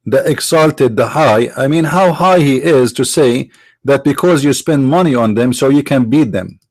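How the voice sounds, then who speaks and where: A man whose first language is Arabic, from the Middle East, arguing in a sarcastic, mocking tone and slowing down to stress quoted phrases. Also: medium pitch, darkish tone, no room sound, low-quality recording. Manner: sarcastic, mocking rhetorical questions. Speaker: apologist speaker. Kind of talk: argumentative lecture.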